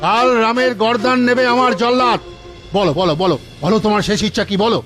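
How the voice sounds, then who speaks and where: Blustering cartoon monarch male actor, extremely high voice, imperious and scolding, spiteful. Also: fast, fairly bright tone, soft background music. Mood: angry, imperious. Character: blustering, vindictive cartoon king.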